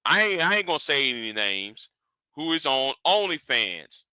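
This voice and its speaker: A man's voice: on the high side, sing-song, normal speed, sharp tone, smooth, no echo. A man in his forties, a live stream host, rambling in casual commentary with lots of stammered repeats. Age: 40s.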